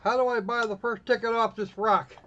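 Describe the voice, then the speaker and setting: A man hosting a livestream in casual chat, wry and snarky, with halting phrasing. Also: very high voice, fairly even intonation, bright, forceful tone. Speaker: livestream host. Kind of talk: casual game stream chat. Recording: dull lo-fi microphone sound.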